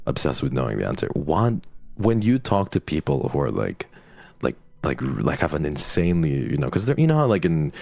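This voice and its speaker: A man guesting in a casual stream chat, with a deep, gravelly voice that swings widely in pitch. He talks in a chatty way, packing his sentences with fillers. The sound is dry and close to the mic. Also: very animated, a little fast, darkish tone, no echo, soft background music.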